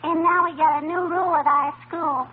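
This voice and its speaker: A woman's voice: extremely high pitch, steady tone, normal speed. A woman playing a mischievous, chatty little schoolgirl in a 1940s radio comedy, rambling childishly with mangled words and verbal tics. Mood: eager, excited. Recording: tinny, noisy old radio recording.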